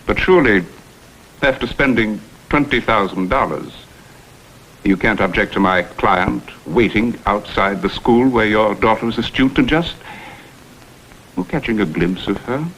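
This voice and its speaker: An actor playing a smooth, insinuating blackmailer who poses as a humble go-between, with florid, theatrical phrasing, in a vintage crime drama. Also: male voice, lowish voice, moderate pace, fairly bright tone, low-quality recording. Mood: veiled menace. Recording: vintage TV soundtrack, faint noise.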